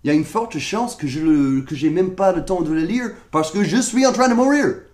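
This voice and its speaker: A young American man with a fairly high voice, speaking French with a clear American accent. He rants to the camera in an exasperated, sarcastic way about being sick and coughing, and the room around him rings with echo. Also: lively intonation, normal speed, a little mellow. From United States. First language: English. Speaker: vlogger. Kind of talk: comedic rant to camera. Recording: echoey room.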